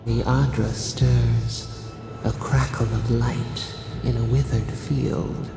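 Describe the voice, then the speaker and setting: A performer voicing an ancient spirit in a video game, speaking cryptically and solemnly in halting fragments as a ghostly echoing voice in the head. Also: medium pitch, little pitch movement. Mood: cryptic, brooding. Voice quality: creaky, gravelly. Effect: long ghostly reverb. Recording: heavy reverb under music. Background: music.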